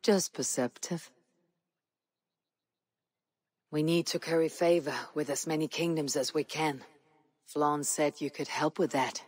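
A terse, dry-witted Viking warrior. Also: female voice, low voice, normal speed, husky. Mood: wry. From Norway. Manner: calm, terse, dry wit. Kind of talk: video game cutscene acting.

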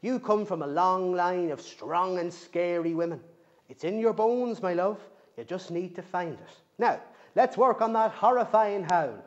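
A man voicing a bossy, scolding old banshee grandmother, raised and loud, on a dull lo-fi recording. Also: high voice, unhurried. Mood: coaxing.

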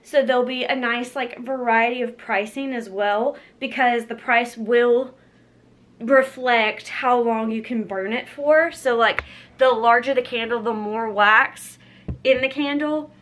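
A woman's voice: fairly high pitch, measured pace, fairly bright tone. Chatty young woman vlogging in her kitchen. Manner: chatty, upbeat, filler-heavy with lots of "like" and "um". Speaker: lifestyle vlogger. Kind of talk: casual chatty vlog. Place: home kitchen, indoors. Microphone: camera close up at arm's length.

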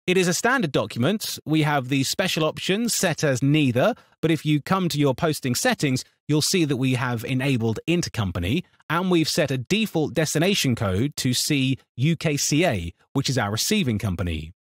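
A man narrating a scripted software tutorial in an instructional, step-by-step manner. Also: fairly high pitch, animated, moderate pace, few pauses, no echo, studio quality.

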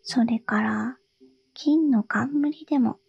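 A woman voicing a tearful, pleading young princess in a soft whisper for a storybook reading. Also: a little high, takes it slowly, gravelly, breathy edge, highs cut off, full character voice. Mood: coaxing.

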